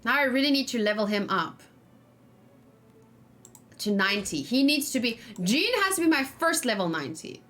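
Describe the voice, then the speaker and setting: A woman's voice: fairly high pitch, very animated, moderate pace, pauses often, a bit bright. A woman streaming a game, thinking aloud in casual chat and often muttering to herself. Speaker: gaming streamer, host. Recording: noisy, with keyboard typing and clicking. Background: background noise, computer keyboard and mouse clicks.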